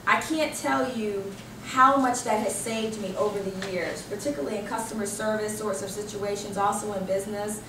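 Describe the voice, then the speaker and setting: An American woman leading an interactive workshop as a corporate trainer, animated and emphatic, often posing questions and answering them herself. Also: mid-range voice, normal speed, few pauses. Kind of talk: interactive workshop presentation to a group. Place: indoor training room. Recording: roomy, distant microphone with background noise.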